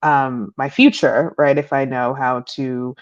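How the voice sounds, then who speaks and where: A woman's voice: a little slow, dry recording. A young adult woman from Peru, speaking as an interview guest over a remote call, reflective and thoughtful, with frequent um fillers. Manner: reflective, many um fillers, rising pitch when animated. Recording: dull lo-fi call audio.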